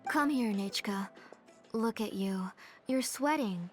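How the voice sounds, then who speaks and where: A woman voicing a gentle, caring mother in a video game, with a polite, nurturing manner. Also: medium pitch, takes it slowly, soft background music, lightly acted. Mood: tender. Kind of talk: video game character dialogue.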